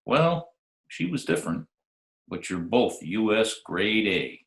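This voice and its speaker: Man acting hardboiled private eye, old radio show style, low voice, kinda echoey room. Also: very animated, slow, a bit bright, full character voice. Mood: teasing, suave. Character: smooth, wisecracking hardboiled male private detective. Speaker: lead actor and narrator. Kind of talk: old-time radio detective drama, first-person narration and dialogue. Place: home, remote video call recording. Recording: roomy, echoey remote home recording.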